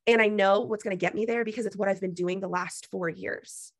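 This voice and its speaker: A woman's voice: medium pitch, fairly even intonation, brisk, no echo. A woman gives a preachy, emphatic motivational talk to her team on a video call while losing her voice. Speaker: business team leader.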